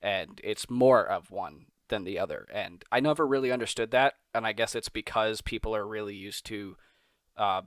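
A man's voice: on the low side, moderate pace, fairly bright tone, no room sound, studio quality. A man hosting a podcast interview, talking in long, rambling, enthusiastic stretches. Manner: rambling, enthusiastic, repeats himself. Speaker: podcast host.

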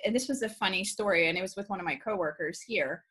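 A woman hosting a podcast, chatting casually and telling things in an animated, candid way. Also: mid-range voice, quick pace. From Albania. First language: Albanian. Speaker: podcast host. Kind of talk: casual conversational interview.

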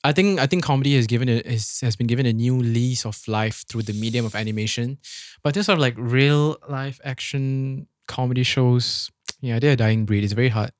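Brief: young man, podcast co-host, rapid chatty delivery, close dry mic. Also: medium pitch, dry recording. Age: young adult. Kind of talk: casual chat.

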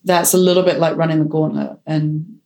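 Low-voiced woman, decisive and frank. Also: fairly even intonation, a little fast, vocal fry, darkish tone. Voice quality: strong voice. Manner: decisive, frank, self-assured. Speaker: director and photographer. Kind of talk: interview answers.

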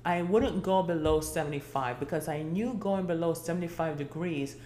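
A woman's voice: lowish voice, normal speed, mellow, reverberant, a little hiss or hum. A woman in her forties hosting a casual solo podcast, chatty and rambling, with many fillers and restarts. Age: 40s. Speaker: podcast host.

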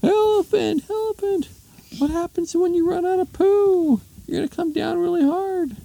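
A man voicing a frantic, scolding woman homeowner in a comic story, in a shrill, pleading falsetto. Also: very animated, measured pace, gravelly, dark tone, slightly rough, heavily acted. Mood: alarmed shouting. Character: frantic, strong woman homeowner pestered by an elephant.

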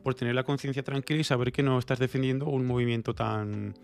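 A man with an accent from Spain co-hosting a casual discussion podcast, explaining things in a halting, digressive way with some colloquial swearing. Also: mid-range voice, steady tone, vocal fry, soft background music. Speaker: podcast co-host.

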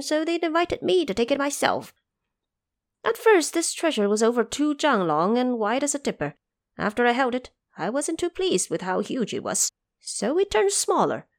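A woman's voice: high-pitched, no room sound, studio quality, pitched above the performer's own voice. A woman voicing a boastful, gleeful male Monkey King in an audio drama, proud and showy with a commanding streak. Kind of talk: audio drama character acting.

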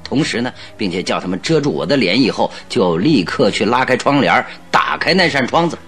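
An actor playing a clever, self-satisfied detective in a Mandarin radio drama, explaining things with assured, theatrical emphasis. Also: male voice, mid-range voice, animated, a little fast, a bit bright, slightly rough, soft background music. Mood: smug.

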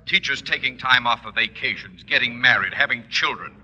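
An actor playing a pompous, irritable middle-aged school principal in an old radio comedy, scolding sternly. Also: male voice, mid-range voice, fairly quick, natural voice. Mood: irritated, scolding. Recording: noisy vintage radio recording.

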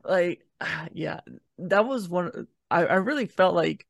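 A man with a fairly high voice and plenty of vocal fry chats casually on a podcast. He sounds easygoing and a little hesitant as he shares opinions, and the recording is dry and close. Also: animated, takes it slowly, fairly bright tone, dry recording. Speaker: podcast co-host. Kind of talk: casual movie review chat.

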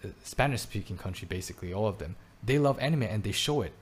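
A man's voice: on the low side, expressive, fast, breathy edge. A man raised in Belgium, chatting casually and often restarting his words. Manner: hesitant, frequent restarts and repeated words. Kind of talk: casual chat. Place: his home, lives in Taiwan.

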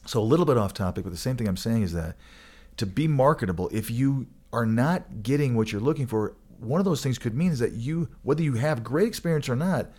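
A man's voice: medium pitch, animated, a little fast, a little mellow. A man from Michigan co-hosting a casual chat, rambling and joking, often restarting his sentences. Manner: rambling, joking, self-correcting. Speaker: co-host.

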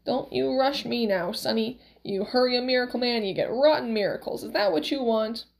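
A woman's voice: a little high, fairly quick, gravelly. A woman voicing a cranky, stubborn old man who haggles and grumbles in an audiobook story, brusque and colloquial. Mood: irritable, blustering.

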